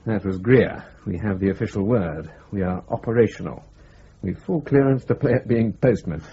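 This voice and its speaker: An actor playing a brisk, dry British intelligence officer in a radio drama, with a husky voice and a businesslike manner. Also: male voice, fairly low pitch, highs cut off, background noise.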